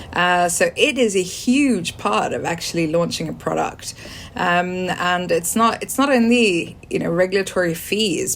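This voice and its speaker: A woman in her forties from Sweden with a British accent, a podcast guest who answers at length with many pauses, ums and restarts. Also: fairly low pitch, slight background noise. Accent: British English. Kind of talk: conversational interview answers.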